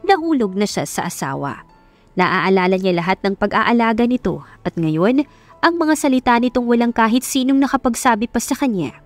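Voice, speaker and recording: A woman's voice: fairly high pitch, very animated, moderate pace, no room sound. Woman narrating a love story over music. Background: background music.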